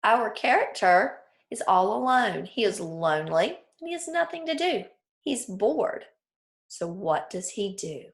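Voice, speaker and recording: A woman's voice: mid-range voice, lively intonation, measured pace. Woman teacher leading a children's read-aloud lesson. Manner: clear explanatory teacher talk with questions to listeners.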